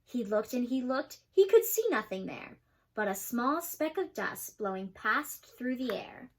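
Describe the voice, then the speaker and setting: Young woman, children's storybook reader; high pitch, rhythmic and lively. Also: unhurried. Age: young adult. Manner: rhythmic rhyming delivery.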